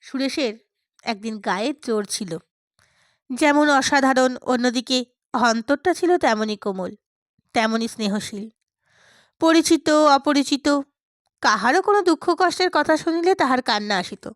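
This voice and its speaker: A woman's voice: high-pitched, lively intonation, measured pace, long pauses, dry recording, studio quality. A woman reading a literary story aloud as scripted narration. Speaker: audiobook narrator.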